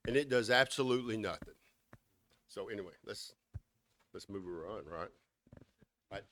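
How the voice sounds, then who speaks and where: A man's voice: lowish voice, moderate pace, a bit bright, slight background noise. A man giving a critical, sarcastic talk at a meeting. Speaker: meeting speaker on election law.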